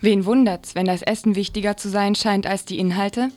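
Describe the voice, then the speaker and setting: A woman's voice: medium pitch, steady tone, normal speed. A woman reading a scripted news commentary as a radio presenter.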